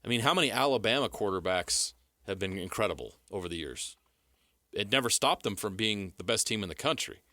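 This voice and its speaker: A man's voice: lowish voice, animated, a little fast, pushed and bright, no room sound, clean studio sound. An American man co-hosting sports talk radio, giving casual analysis in an assured, plain-spoken, explanatory way.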